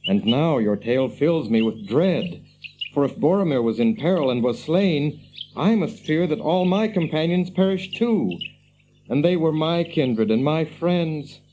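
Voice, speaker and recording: An earnest, mellow-voiced hobbit. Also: male voice, on the high side, animated, a little slow, a little hiss or hum. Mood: grieving, weary. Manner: earnest, formal, old-fashioned phrasing. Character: Frodo, earnest, weary hobbit on a perilous quest. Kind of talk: radio fantasy drama acting. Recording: dull lo-fi audio with birdsong behind.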